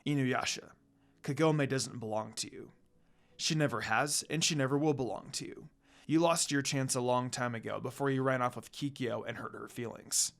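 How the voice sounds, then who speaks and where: A man acts out a stern demon older brother in a fan story. He is composed and protective, speaking in a lowered, measured voice. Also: creaky, fairly bright tone.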